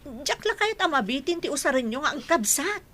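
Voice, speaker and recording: A woman's voice: high-pitched, sing-song, brisk, bright, forceful tone, slight background noise, heavily acted. A woman playing a self-sacrificing wife and mother, earnest and imploring, in a radio drama. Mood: earnest, consoling.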